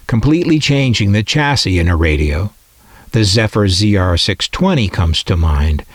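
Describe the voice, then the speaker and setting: A man narrating a scripted piece as a hobbyist collector, with reflective, measured phrasing. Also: lowish voice, dry recording. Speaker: radio collector presenting their collection.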